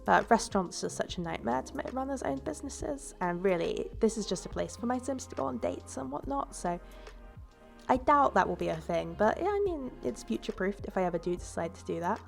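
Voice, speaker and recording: Woman narrating her build video, a bit congested, with a gravelly edge and an easygoing, rambling delivery. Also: mid-range voice, expressive, brisk, faint music behind. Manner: casual and rambling, with fillers and self-corrections. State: stuffy from a cold. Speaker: game builder and YouTuber. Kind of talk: relaxed voice-over commentary on a building video.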